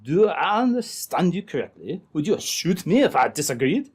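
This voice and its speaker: Casting: male actor as sly gold thief for old-time radio, formal and smooth, very varied pitch. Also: very animated, darkish tone. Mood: smoothly bargaining. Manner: smooth, formal, deliberate phrasing. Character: smooth-talking, scheming Frenchman, a gold-robbery accomplice.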